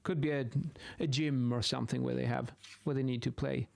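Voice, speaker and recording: A man in his thirties with a Swedish accent gives an informal explanation in a halting way, repeating words, close to a studio microphone in a small room. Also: medium pitch, fairly even intonation, gravelly, a little mellow. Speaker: video host, acoustics instructor. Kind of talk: informal explainer while sketching. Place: small indoor home office. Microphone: close boom-arm studio microphone.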